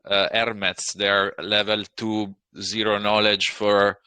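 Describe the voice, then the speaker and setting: A man's voice: fairly low pitch, level tone, slow pace, sharp tone, smooth, no echo. A man moderating an online AMA as community host, polite and rambling with fillers.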